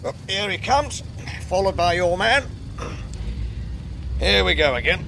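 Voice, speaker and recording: An actor playing a chatty, nosy working-class cab driver with a London accent in a radio drama. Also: male voice, sits high, animated, a little slow, creaky, background noise, full character voice. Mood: curious, uneasy. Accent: British, London. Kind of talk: British radio drama acting.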